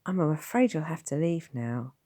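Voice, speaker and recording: Woman as a nervous young nurse; higher, sing-song delivery. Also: lively intonation, moderate pace, dark tone, no room sound, clean studio sound.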